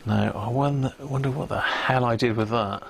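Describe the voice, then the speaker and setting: A man's voice: mid-range voice, steady tone, moderate pace, gravelly, background noise. A British man hosting a casual livestream, thinking aloud in a rambling, self-mocking mutter. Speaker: livestream host showing radio gear.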